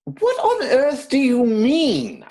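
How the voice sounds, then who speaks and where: A man playing an earnest, easily exasperated young English gentleman in a stage comedy reading, stuffy and emphatic. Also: extremely high pitch, wildly swooping pitch, slow, full character voice.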